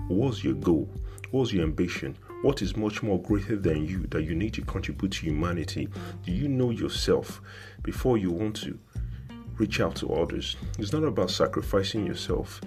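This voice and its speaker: Male motivational speaker, very low voice, preachy and repetitive, rhetorical questions. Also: a little mellow. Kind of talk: motivational monologue.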